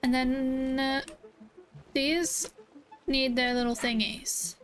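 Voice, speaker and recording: A woman streaming a video game, reacting casually and excitedly with frequent exclamations. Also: sits high, takes it slowly. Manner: excitable, with repeated exclamations and gasps. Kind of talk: casual gameplay reaction commentary. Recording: game music faintly behind voice. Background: video game music.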